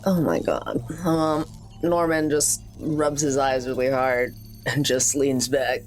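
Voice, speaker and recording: A woman's voice: very deep voice, slow, soft background music. A woman chatting casually and a little hesitantly as she improvises as a player in a tabletop roleplaying game.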